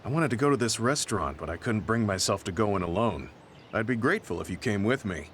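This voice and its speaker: An actor playing a stoic, earnest veteran soldier in a video game, speaking in a serious, reserved, measured way. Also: male voice, on the low side, expressive, slight background noise. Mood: calm, earnest. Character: stoic, earnest male veteran soldier, quietly grieving and resolute. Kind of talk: English video game voice acting. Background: soft background music.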